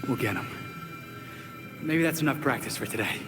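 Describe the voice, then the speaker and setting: Man acting a steady young hero, loud music. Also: mid-range voice, normal speed, close to an everyday voice. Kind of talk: video game cutscene acting.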